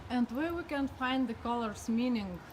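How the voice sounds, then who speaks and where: A woman's voice: fairly high pitch, animated, normal speed. A woman in the audience asking casual, brief questions during a presentation. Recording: noisy room recording.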